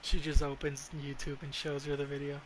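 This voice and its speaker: A woman's voice: low-pitched, monotone, brisk, slight background noise, poor audio. A woman doing casual live reaction commentary on a game, tossing out blunt, sardonic quips.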